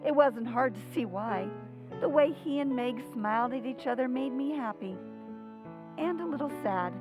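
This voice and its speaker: An actress playing a gentle, reflective mother who narrates in an old-time radio drama. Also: high voice, expressive, normal speed, over music.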